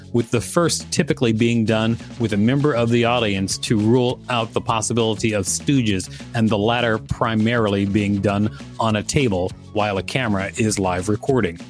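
A man co-hosting a podcast, reading an encyclopedia article aloud and chatting casually. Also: fairly low pitch. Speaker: podcast co-host.

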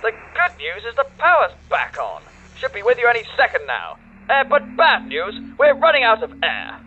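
A man's voice: very high-pitched, huge pitch swings, moderate pace, full character voice. A man playing a matter-of-fact crewman reporting over a radio intercom in a sci-fi audio drama. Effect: radio intercom filter. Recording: dull noisy radio link.

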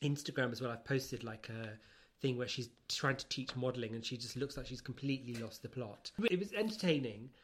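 A man's voice: mid-range voice, brisk. A man co-hosting a podcast in casual comic chat, giggly and teasing as he talks. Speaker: podcast co-host.

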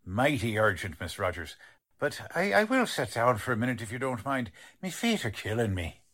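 An actor playing a plodding police sergeant who talks in folksy, ungrammatical street slang, in the style of a 1940s radio drama. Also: male voice, mid-range voice, very animated, normal speed, a bit bright. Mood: apologetic. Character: blunt, plodding middle-aged male police sergeant.

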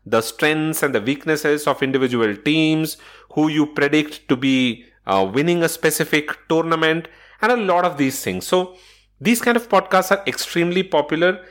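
A man's voice: on the high side, a bit bright. A man hosting a solo podcast episode, explaining points in a methodical, instructional way from loose notes. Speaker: podcast host.

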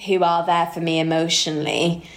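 A woman's voice: lowish voice, little pitch movement, a little fast, reverberant. A woman co-hosting a podcast in casual chat, speaking in an effusive, emphatic and informal way. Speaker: podcast co-host.

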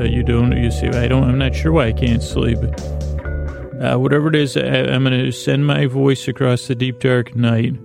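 Creaky, meandering man hosting a sleep podcast. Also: medium pitch. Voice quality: creaky, soft, halting with many restarts. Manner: meandering, self-correcting, drowsy pace. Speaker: podcast host. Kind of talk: rambling sleep-podcast intro.

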